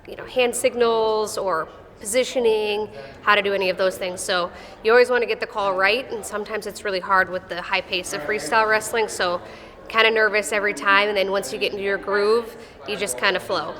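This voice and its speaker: A woman's voice: mid-range voice, fairly even intonation, fairly quick, a bit bright. A woman in her thirties from Wisconsin with a Midwestern accent and a slight Texas drawl, a wrestling official answering interview questions in a friendly, matter-of-fact way. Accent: Midwestern American with a slight Texas drawl. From Wisconsin, USA. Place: wrestling tournament arena. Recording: echoey arena with crowd noise.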